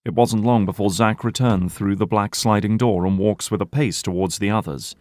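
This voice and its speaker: A man's voice: lowish voice, normal speed, darkish tone, no echo, clean studio sound. A man reading scripted narration for an audio drama.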